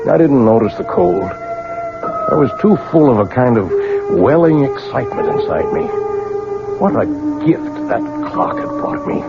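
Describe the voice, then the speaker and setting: An intense, dramatic aging clockmaker, muffled recording. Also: male voice, a little high. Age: older. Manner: theatrical, intense, storytelling. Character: aging clockmaker, secretive, excited, dreamy, fond of his tree. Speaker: narrator and lead actor in a radio mystery. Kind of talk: 1970s radio drama acting, first-person narration. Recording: muffled vintage radio recording with music.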